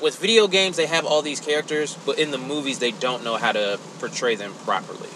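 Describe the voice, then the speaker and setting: Blunt man chatting over clear background noise. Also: medium pitch, a little fast. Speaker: podcast co-host. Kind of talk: casual podcast chat.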